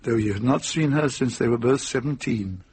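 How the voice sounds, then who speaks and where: A man narrating a radio drama as a measured, dryly wry storyteller. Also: medium pitch, a little mellow, slightly rough.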